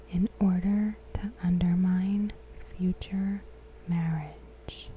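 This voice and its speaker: A woman narrates in a soft, breathy ASMR whisper, taking her time over every phrase. Her tone is very dark and mellow, with a deliberate, hushed delivery. A faint background hiss sits behind the voice. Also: fairly low pitch, rough recording. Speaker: ASMR host.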